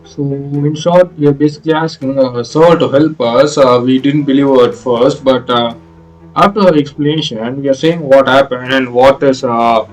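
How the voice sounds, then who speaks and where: Halting man commentating over faint game music. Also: mid-range voice, steady tone, low-quality recording. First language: not English. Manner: halting, hesitant, wordy explaining. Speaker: gameplay video host. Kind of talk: casual playthrough commentary.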